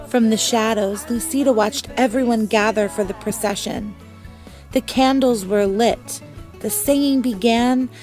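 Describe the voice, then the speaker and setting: A woman reading a children's storybook aloud as a teacher, with expressive, deliberate phrasing. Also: medium pitch.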